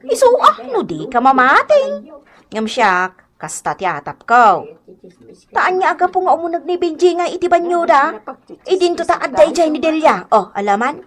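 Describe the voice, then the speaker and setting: Woman acting a high-pitched, animated Ilocano village gossip. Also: normal speed. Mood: insistent. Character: gossipy, scandal-spreading village woman, insistent and dramatic. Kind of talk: Ilocano radio comedy drama acting.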